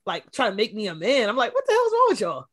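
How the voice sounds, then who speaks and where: Deep-voiced woman, candid and animated. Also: fast, a crowd in the background. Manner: candid, animated storytelling with laughs. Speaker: podcast co-host. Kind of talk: casual chat.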